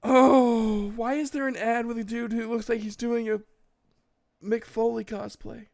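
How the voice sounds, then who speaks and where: A man's voice: extremely high pitch, little pitch movement, unhurried, gravelly, darkish tone. A man reading a story as an amateur and breaking in with blunt, irreverent, exasperated asides. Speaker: audiobook reader and commentator.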